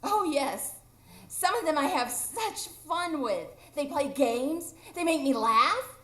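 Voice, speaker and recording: A woman's voice: high-pitched, animated, slow pace, bright, forceful tone, heavily acted. A woman acting a playful, carefree and stubborn ageless boy in a live radio drama. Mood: playful, excited. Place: improv theatre stage, live audience. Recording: roomy live stage recording.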